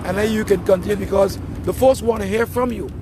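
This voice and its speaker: Male livestream host, prompting and brisk, street noise behind. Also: sits high. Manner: prompting, asks short questions. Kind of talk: street interview. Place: outdoors on a street. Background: traffic and passing planes.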